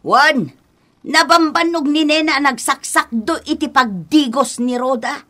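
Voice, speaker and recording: A woman playing a stern, protective grandmother in a radio drama, forceful and scolding. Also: high-pitched, unhurried. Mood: indignant. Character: stern, protective grandmother confronting her daughter-in-law. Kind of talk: Ilocano radio drama acting.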